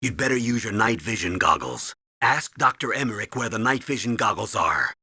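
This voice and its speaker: Forceful, husky military commander. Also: male voice, on the low side, very animated, moderate pace, dry recording, studio quality, exaggerated voice. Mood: commanding, steady. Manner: commanding, emphatic, instructive. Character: gruff, urgent military commanding officer briefing his agent. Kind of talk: video game radio briefing acting.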